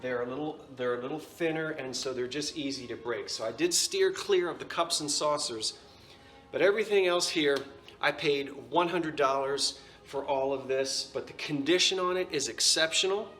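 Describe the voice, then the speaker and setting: A man's voice: a little high, normal speed, a bit bright, faint music behind. A man with a New Jersey accent, casually showing and describing antique dishes in a chatty, self-correcting way. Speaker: antiques seller. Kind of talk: casual show-and-tell vlog.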